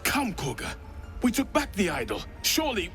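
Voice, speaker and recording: A man's voice: sits high, wildly swooping pitch, moderate pace, pushed and bright, faint music behind, exaggerated voice. Earnest, concerned druid man. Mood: pleading. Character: earnest, concerned male druid pleading for mercy. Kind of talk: video game cutscene acting.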